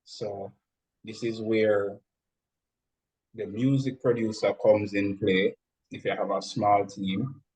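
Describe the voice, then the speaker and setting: A man speaking as a music producer guest, explaining things deliberately, step by step, in an interview. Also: lowish voice, darkish tone, slightly rough, poor audio.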